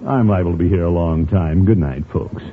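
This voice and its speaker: An actor playing a pompous, sociable middle-aged uncle in a 1950s radio sitcom, theatrical and avuncular. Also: male voice, sits low, fairly quick. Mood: excited, flustered. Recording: tinny, noisy old radio recording.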